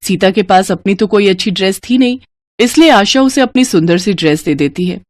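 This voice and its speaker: A woman's voice: medium pitch, fairly quick, darkish tone, no echo. A woman reading scripted narration as the narrator of a story.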